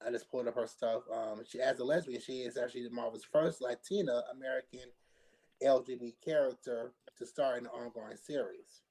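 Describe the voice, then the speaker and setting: A man with a medium-pitched voice talks casually on a discussion panel. He lays out his points in a measured, explanatory manner, often feeling his way through the sentence. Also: fairly even intonation, warm tone, breathy edge, rough recording. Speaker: panelist on a pop culture discussion show.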